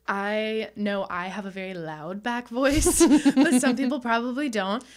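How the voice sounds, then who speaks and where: A woman's voice: on the high side, unhurried. A woman in her twenties co-hosting a sex education podcast, speaking earnestly and encouragingly with plenty of "like" fillers, on a studio mic. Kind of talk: casual podcast conversation. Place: small studio with bookshelves and pink light. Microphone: studio mic on boom arm.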